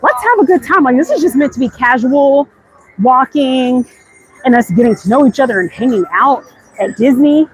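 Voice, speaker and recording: A woman's voice: sits high, animated, normal speed, low-quality recording. An American woman hosting a casual walking livestream, chatty and enthusiastic, slangy in the way she addresses viewers. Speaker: vlog host. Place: walking outdoors in a busy theme park. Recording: live outdoors with clear background noise. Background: theme park ambience.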